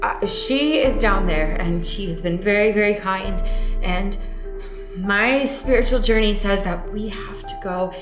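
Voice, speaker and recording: A woman's voice: mid-range voice, unhurried, natural voice. A naive monk, played by a woman with a mid-range voice, speaking sincerely and with some hesitation. It is improvised radio comedy, a little roomy with faint music underneath. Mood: earnest. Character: earnest, naive monk on a spiritual journey.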